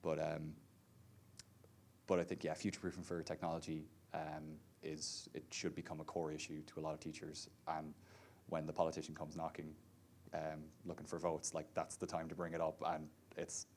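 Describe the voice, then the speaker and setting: Very deep, flat-voiced man chatting. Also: moderate pace, a little hiss or hum. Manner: chatty, many fillers like "sort of" and "like". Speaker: VR developer, panel speaker. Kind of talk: casual panel talk.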